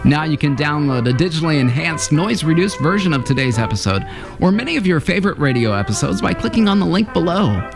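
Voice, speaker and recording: A man presenting a radio history series in scripted narration. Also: fairly high pitch. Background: music.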